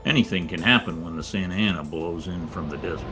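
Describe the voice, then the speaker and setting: A man's voice: sits low, fast talker, natural voice. A man playing a world-weary, wry private detective narrating his case in a 1940s radio noir style. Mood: brooding, weary. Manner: wry, terse, wisecracking. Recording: home-recorded radio play, faint music.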